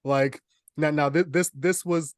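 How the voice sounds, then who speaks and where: A man's voice: on the high side, steady tone, measured pace, dry recording, clean studio sound. A young man co-hosting a podcast, telling stories in casual, humorous chat. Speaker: podcast co-host.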